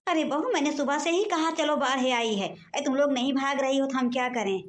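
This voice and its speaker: A high-pitched village aunt from a comedy cartoon, acted by a woman. She is gossipy and urgent, insisting and repeating herself. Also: fairly quick, lightly acted. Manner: insistent, repetitive. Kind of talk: Hindi animated comedy dialogue.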